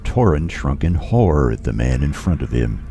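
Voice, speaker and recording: A man's voice: rumbling low, sing-song, moderate pace, warm tone, slight background noise, exaggerated voice. A man reading scripted narration for an audio drama as a grave storyteller.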